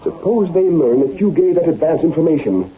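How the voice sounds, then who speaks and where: An old, muffled radio recording with light noise carries a nervous crooked businessman. His high, animated voice whines and protests with self-pity, in 1940s radio drama style. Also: a little fast. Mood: indignant, anxious. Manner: whining, protesting. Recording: old, noisy, narrow-band radio recording.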